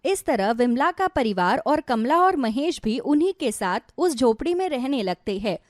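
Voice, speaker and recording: A woman's voice: high-pitched, sing-song, moderate pace, dry recording, clean studio sound. A woman narrating a scripted moral story as its storyteller.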